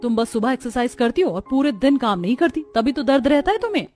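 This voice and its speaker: A woman plays a hardworking village sister-in-law in a cartoon. Her voice is fairly high, sensible and earnest, with faint music behind it. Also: expressive, fast talker, darkish tone. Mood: advising. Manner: earnest, advising.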